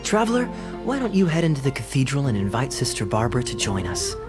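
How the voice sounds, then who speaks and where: A man's voice: mid-range voice, wildly swooping pitch, quick pace, over music. A man voicing a friendly, earnest organizer in a fantasy game, upbeat and persuasive.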